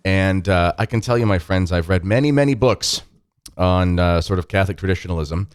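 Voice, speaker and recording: A man's voice: sits low, fairly quick, clean tone, no echo. A man hosting an interview podcast, talking in a friendly, rambling way with many fillers. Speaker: podcast host.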